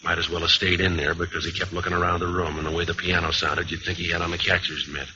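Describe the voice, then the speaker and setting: Deep-voiced, deadpan hard-boiled jazzman played by an actor. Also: fast talker, lightly acted. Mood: deadpan narration. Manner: clipped, deadpan, wisecracking. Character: cynical, world-weary 1920s jazz cornetist narrator, tough man. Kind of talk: 1950s radio noir acting, hard-boiled narration. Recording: dull, lightly hissy old radio recording.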